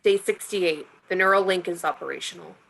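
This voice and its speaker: A woman's voice: lowish voice, fairly quick, vocal fry, fairly bright tone, rough recording. A woman reading the part of an earnest lab scientist dictating experiment logs in a table reading. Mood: clinical. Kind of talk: online script table reading.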